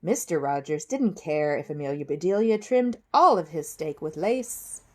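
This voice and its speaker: A woman reading a children's story aloud in an expressive storytelling style. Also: low-pitched, moderate pace. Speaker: storybook reader.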